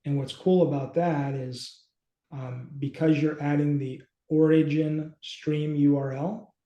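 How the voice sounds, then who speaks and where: A man in his thirties chatting informally as a co-host, thinking aloud in a halting way on a video call. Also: on the high side, steady tone, mellow, lo-fi sound. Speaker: co-host, app company team member. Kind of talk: casual, informal demonstration chat. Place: small home office indoors. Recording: echoey room on a video call.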